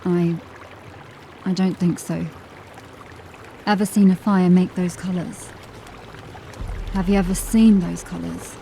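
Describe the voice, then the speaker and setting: Slow-spoken, watchful woman scout. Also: fairly low pitch, steady tone, vocal fry, mellow, a little hiss or hum. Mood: wary. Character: watchful, uneasy female watch scout, observant and serious. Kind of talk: fantasy audio drama acting. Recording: music under the voice.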